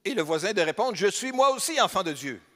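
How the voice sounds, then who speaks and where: High-voiced Québécois pastor in a hall. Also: male voice, very animated, fast, a bit bright. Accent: Québécois French. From Quebec, Beauce region. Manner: conversational, humorous, asks the congregation rhetorical questions. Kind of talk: sermon. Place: church hall. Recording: long hall reverb.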